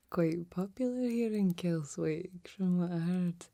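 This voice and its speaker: A woman playing a friendly, folksy fantasy blacksmith in a soft-spoken, mostly whispered ASMR roleplay, with gentle, quaint old-fashioned phrasing. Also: on the low side, slow pace, creaky. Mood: warm, admiring.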